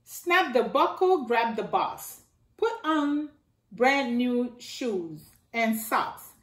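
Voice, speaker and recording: A middle-aged woman reading a rhyming picture book aloud to children in a slow sing-song way, filmed indoors at home by a camera a few feet away. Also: a little high, lively intonation. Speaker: storytime reader. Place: living room by a staircase, indoors. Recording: echoey room.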